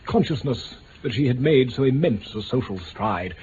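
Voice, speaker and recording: An actor with an upper-class English accent playing a stiff, haughty lord, hesitant and condescending, in mid-century radio drama style. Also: male voice, medium pitch, expressive, normal speed, a little mellow, lightly acted. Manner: hesitant, pompous, formal. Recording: dull, hissy old radio recording.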